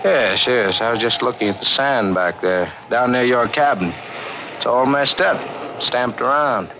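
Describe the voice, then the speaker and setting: A man's voice: medium pitch, unhurried, close to an everyday voice. An actor playing a secretive, brooding man, a reckless lover and accomplice in an old-time radio drama, with a clipped, guarded delivery. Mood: suspicious. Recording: dull, noisy old radio recording.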